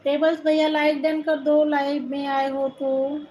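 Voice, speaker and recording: Woman, Awadhi-tinged Hindi accent, high pitch, live stream host, thanking viewers by turn, phone mic. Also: level tone, measured pace, rough recording. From Uttar Pradesh, India. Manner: warm thanking, calls viewers brother. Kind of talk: casual live chat reading viewer comments.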